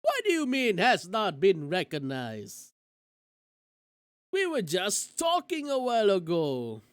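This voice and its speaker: Female game streamer, animated mid-pitched voice, theatrical reading, casual commentary, dry close mic. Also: takes it slowly, no echo. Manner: theatrical reading with exclamations and asides. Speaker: streamer playing a visual novel.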